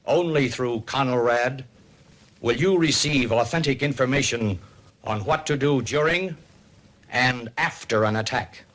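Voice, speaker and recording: A male announcer from a 1950s civil defense broadcast. He speaks slowly and solemnly, placing each phrase with deliberate weight. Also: medium pitch, a bit bright.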